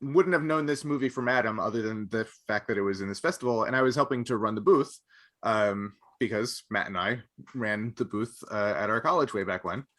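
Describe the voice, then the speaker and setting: A man's voice: on the low side, a little fast, a bit bright. A man co-hosting a casual panel chat podcast, talking in a rambling, anecdotal way. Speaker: podcast co-host.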